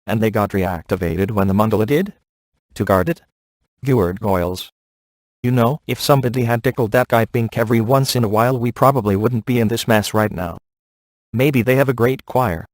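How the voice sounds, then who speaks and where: A wisecracking middle-aged ghost hunter played by a man for a video game, speaking in a fairly low voice. He is cocky and flirtatious, tossing off sarcastic one-liners with dry glibness. The sound is very close and free of room echo. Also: normal speed, lightly acted. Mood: wry. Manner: dry, sarcastic, glib delivery.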